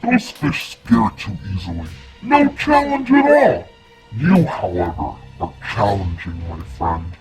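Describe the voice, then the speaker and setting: A hungry monster lurking under a child's bed, growling slow and menacing, as a woman puts him on. His voice sinks very low and drags along, with soft music behind. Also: huge pitch swings, exaggerated voice, poor audio. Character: hungry old male bed monster Gabe.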